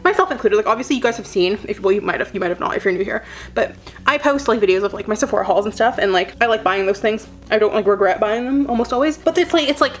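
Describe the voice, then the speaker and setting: A woman's voice: medium pitch, expressive, fast talker, faint music behind. A woman in her twenties, a beauty vlogger, chatting in a rambling way while doing her makeup, her sentences full of filler words. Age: 20s.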